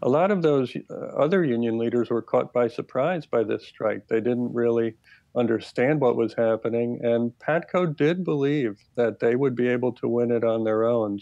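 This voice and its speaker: American man, 50s, academic guest, deliberate phrasing, flat intonation, dull video-call audio. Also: mid-range voice, no room sound. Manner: measured, deliberate. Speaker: expert guest, labor history professor. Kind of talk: explanatory interview answers. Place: plain room indoors. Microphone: earbud headset on video call.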